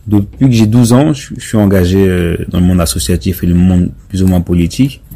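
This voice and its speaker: A man in his thirties, born in France, answering interview questions as a member of parliament, halting with many fillers. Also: deep voice, steady tone, vocal fry, dark tone. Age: 30s. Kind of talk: casual interview talk.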